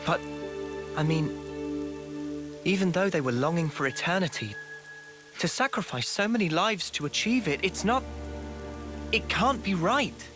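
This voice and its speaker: An actor playing an earnest, troubled young male hero in a video game, speaking in a halting, sincere way. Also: sits high, animated, music in the background. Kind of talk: scripted video game cutscene dialogue.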